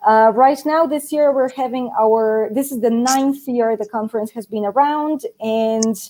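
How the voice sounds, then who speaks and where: A woman's voice: on the high side, a little slow, warm tone, slight background noise, low-quality recording. Chatty young Polish woman on a video call. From Poland. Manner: chatty, laughs often, many fillers. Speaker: guest. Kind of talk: casual live-stream chat. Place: translation agency office.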